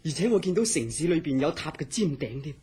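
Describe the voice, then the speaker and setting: An actor playing an earnest, mysterious scientist in a horror radio drama, telling his story in a serious, deliberate way. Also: a little high, lively intonation, darkish tone, close to an everyday voice. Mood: wondering, earnest. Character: earnest, mysterious scientist recounting uncanny dreams. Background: background music.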